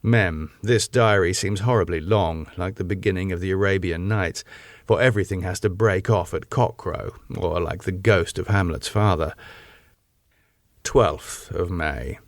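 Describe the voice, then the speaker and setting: A man's voice: low voice, a little slow, no room sound, studio quality. A man narrating a gothic novel in the first person, reading it as a scripted diary. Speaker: audiobook narrator.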